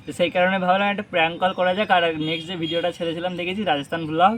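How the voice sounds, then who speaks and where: A man's voice: high-pitched, fairly even intonation, very fast, fairly bright tone. A young man chatting casually on a phone call, teasing, coaxing and pressing with questions. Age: young adult. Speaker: vlogger making a prank phone call. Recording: noisy with birds squawking behind. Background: parrot squawks and ambient noise.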